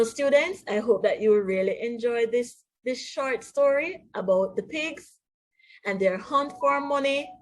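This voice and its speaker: A woman with a Jamaican accent reading a storybook to young pupils as their teacher, in an expressive, deliberate way. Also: medium pitch. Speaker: primary school teacher. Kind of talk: read-aloud storybook lesson for young children.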